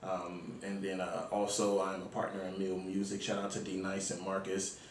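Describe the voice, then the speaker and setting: A deep-voiced man from Miami talks as a guest in a casual interview. His phrasing is informal and halting, padded with ums. He is recorded away from the mic in an echoey room. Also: monotone. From Miami, Florida, United States. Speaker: interview guest, music platform founder. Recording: echoey room, distant mic, faint noise.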